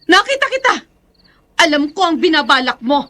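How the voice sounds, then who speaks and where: A woman's voice: high-pitched, sing-song, normal speed, pushed and bright, heavily acted. A woman playing an outspoken, emphatic, confrontational woman in a radio drama. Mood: accusing. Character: accusing, outspoken woman confronting her brother-in-law.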